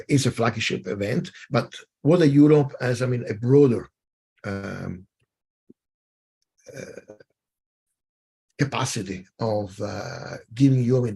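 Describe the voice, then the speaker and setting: A man runs a webinar, talking through his slides in a halting way, full of fillers and restarts. His voice is gravelly and a little husky. He is on a video call. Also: medium pitch, warm tone. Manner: hesitant, many fillers and restarts. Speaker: webinar moderator. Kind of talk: webinar presentation.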